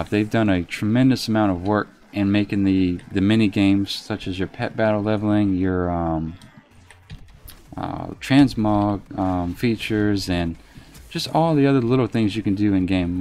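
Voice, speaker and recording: A soft-spoken man giving casual commentary as he plays a game live and answers his chat in a reserved, unhurried way. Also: fairly low pitch. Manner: reserved, unhurried, talks while playing. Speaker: live streamer.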